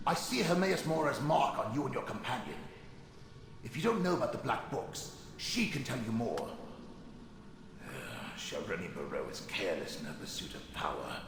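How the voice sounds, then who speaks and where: A man's voice: fairly low pitch, sing-song, moderate pace, gravelly. A man playing the bitter, sardonic ghost of a murdered wizard in a video game, with a weary, theatrical delivery. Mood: weary, grave. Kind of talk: video game character acting. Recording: long ghostly reverb, some noise.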